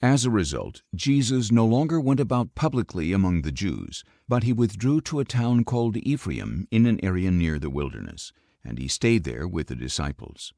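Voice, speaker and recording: Low-pitched man reading scripture, highly expressive. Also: creaky, no room sound, studio quality. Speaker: audiobook narrator.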